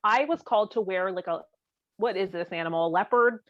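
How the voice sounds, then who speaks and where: A woman's voice: mid-range voice, a little fast, no room sound. A woman co-hosting a podcast in casual conversation. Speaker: podcast co-host. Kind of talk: casual chat. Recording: slightly dull, lo-fi sound.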